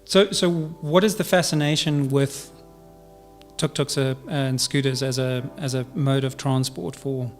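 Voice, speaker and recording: A man's voice: mid-range voice, fairly even intonation, a little slow, soft background music. A male podcast host who opens and asks questions hesitantly, with many fillers. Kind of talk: podcast interview.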